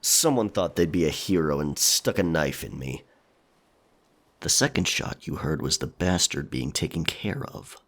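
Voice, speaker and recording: Audio drama pirate, performed by a man: stoic and sardonic, curt delivery, very deep and expressive. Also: a little slow, heavily acted. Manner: nonchalant, teasing, curt.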